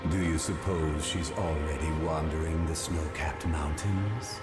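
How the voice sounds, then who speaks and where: A man's voice: very deep voice, fairly even intonation, unhurried, roomy sound, loud music behind the voice. An actor playing a villainous scientist in a video game cutscene, mocking and falsely polite, savoring his words with theatrical relish. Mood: gloating.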